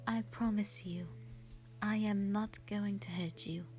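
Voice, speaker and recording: Woman playing a slow-spoken, gentle shy dragon lady. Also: medium pitch, steady tone, soft background music, natural voice. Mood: soft, wistful. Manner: soft, breathy, hesitant, sweet and earnest. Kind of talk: roleplay audio drama, intimate one-sided dialogue.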